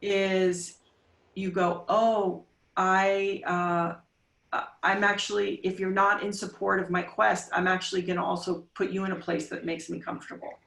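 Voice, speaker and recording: A woman in her forties speaks as a podcast guest in an emphatic, coaching way, firing off rhetorical questions and answering them herself. Her voice sits mid-pitch. It comes across roomy and a little distant from the microphone. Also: little pitch movement, normal speed, creaky, a bit bright. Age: 40s. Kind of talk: casual interview talk. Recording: roomy sound, voice off-mic.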